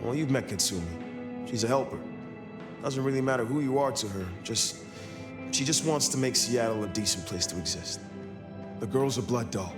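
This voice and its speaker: A man's voice: mid-range voice, moderate pace, pauses often, over music, close to an everyday voice. An actor playing an easygoing, friendly male street vampire in a video game, casual and plainspoken. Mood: matter-of-fact, kindly.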